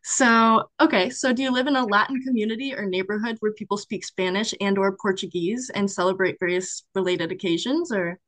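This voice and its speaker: Young woman, student interviewer, friendly, mid-pitched, dry sound. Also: a little fast, hardly any pauses, fairly bright tone, no echo. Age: young adult. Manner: friendly, scripted intro then chatty, laughs often. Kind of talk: interview for a class project.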